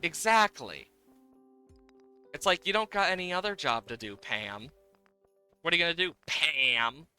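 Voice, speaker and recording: A man's voice: fairly high pitch, expressive, measured pace, long pauses, pushed and bright, smooth, faint music behind. A man giving casual livestream game commentary, playfully exasperated, swearing and exclaiming at the game as he plays.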